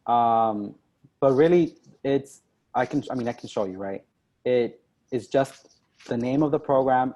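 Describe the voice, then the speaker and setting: A man's voice: medium pitch, a little mellow, rough recording. A young man speaking as a diplomat at an online info session, talking conversationally with many ums and "right?" tags. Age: young adult.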